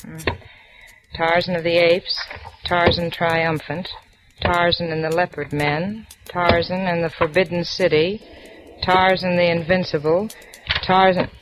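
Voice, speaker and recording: A woman's voice: fairly low pitch, level tone, unhurried, natural voice. An actress playing an easygoing young woman library clerk with a casual, offhand way of talking, in 1940s radio acting style. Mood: breezy. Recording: old radio broadcast with faint hiss.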